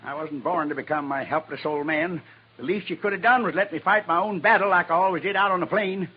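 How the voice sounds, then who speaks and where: An actor playing a proud, bitter old American plainsman with a raspy voice and folksy phrasing, in a 1950s radio Western. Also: high voice, fast talker. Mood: bitter, accusing. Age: older. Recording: dull lo-fi 1950s radio recording.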